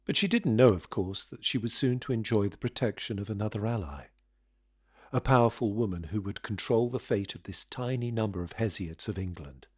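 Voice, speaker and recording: An actor playing a storyteller, reading a solemn literary novel in a measured way within an audio drama. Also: male voice, on the low side, lightly acted.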